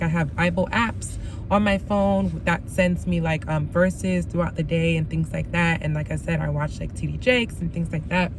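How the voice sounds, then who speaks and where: Woman vlogger, slightly raspy, lowish voice, rambling filler-heavy chat, flavor, car noise behind. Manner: rambling, many ums and likes. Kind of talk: casual vlog chat.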